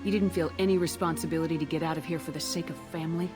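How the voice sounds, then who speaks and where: A woman's voice: sits low, fairly even intonation, very fast, close to an everyday voice. A woman playing a stern military commander in a video game, firm, probing and controlled. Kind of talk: dramatic video game voice acting. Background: cinematic background music.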